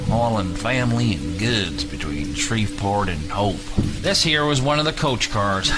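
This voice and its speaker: Man acting a gruff older Southern guard, menacing, folksy drawl, audio drama, music underneath. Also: fairly low pitch. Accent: Southern US, Louisiana. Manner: folksy drawl when reminiscing, barking orders when angry.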